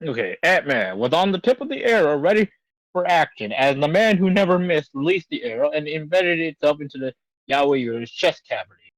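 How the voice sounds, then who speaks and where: A man's voice: on the high side, lively intonation, brisk, no room sound, low-quality recording. A man on a live comedy stream, switching between casual banter and reading a comic script aloud.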